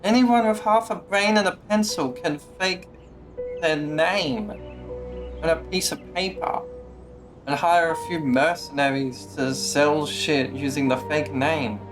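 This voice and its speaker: A woman's voice: low voice, slow pace. A woman game streamer giving casual live commentary in a blunt, skeptical, sweary way. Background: game music.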